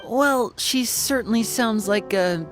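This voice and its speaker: A woman voicing a thoughtful, courteous game character with polite, deliberate phrasing. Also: fairly high pitch. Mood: intrigued. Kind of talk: video game voice acting. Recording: music behind the voice.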